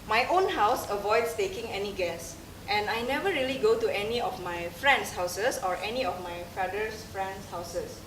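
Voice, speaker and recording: A young woman speaks English with an Indonesian accent, giving a candid, self-mocking monologue on a theater stage. Her voice is picked up from a distance and rings in the roomy hall, over a layer of steady noise. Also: medium pitch, moderate pace. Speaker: theater performer. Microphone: distant room mic. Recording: roomy stage sound from far off, audible noise.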